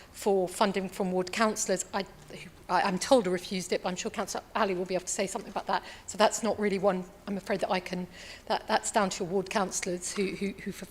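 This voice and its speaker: A woman councillor answers a question with earnest hesitance, restarting her sentences often. Her voice sits at a middle pitch. A long chamber reverb trails behind each phrase.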